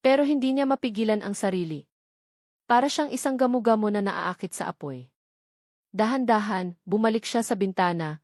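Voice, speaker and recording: A woman audiobook narrator reading a scripted dramatic story in measured, short phrases. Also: mid-range voice, a little mellow, dry recording, clean studio sound.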